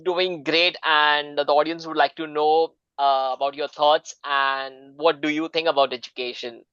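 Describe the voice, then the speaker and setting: A man's voice: fairly high pitch, steady tone, measured pace, fairly bright tone, clear, resonant tone, no echo, highs cut off. Hesitant male seminar host. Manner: polite, hesitant with many fillers. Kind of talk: moderating an online seminar. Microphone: video call.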